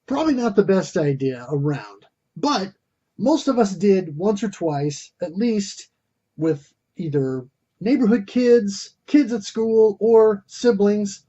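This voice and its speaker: Mellow, husky man narrating a game review. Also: high-pitched, expressive, slow pace, lo-fi sound. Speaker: host of a retro game review show.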